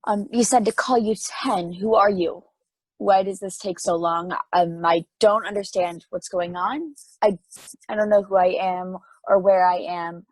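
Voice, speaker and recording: A woman's voice: mid-range voice, fairly even intonation, measured pace, darkish tone, no room sound, lightly acted, poor audio. A woman playing a lonely, frightened space probe in a play table read, hesitant and pleading. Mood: lonely, confused.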